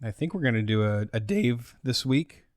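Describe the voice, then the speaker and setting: Mid-pitched man bantering on a dry podcast. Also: expressive, normal speed, dry recording. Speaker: podcast co-host. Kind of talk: casual, joking podcast banter.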